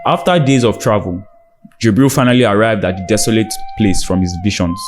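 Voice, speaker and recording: A man's voice: on the low side, brisk. A man narrating a scripted dramatic folktale as a storyteller. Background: background music.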